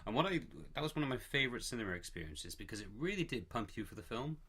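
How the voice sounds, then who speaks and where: A man's voice: medium pitch, big pitch swings, fast talker, fairly bright tone, husky. A man chatting casually on a podcast, rambling a little with fillers. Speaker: podcast co-host. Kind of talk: casual chat.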